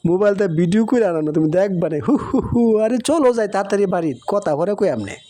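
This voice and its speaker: Man voicing an eager high-pitched little brother. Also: expressive, quick pace, mellow. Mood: excited, eager. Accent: Bangladeshi regional Bengali. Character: excited young boy chatting to his older sister. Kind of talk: cartoon moral story dialogue.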